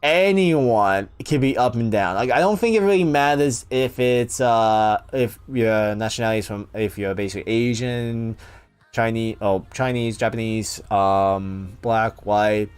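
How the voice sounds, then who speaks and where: A man's voice: lowish voice, a little slow, smooth, soft background music. A young man chatting casually with viewers, rambling with lots of fillers and restarts. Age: young adult. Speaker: youtuber answering viewer questions. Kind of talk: casual chat.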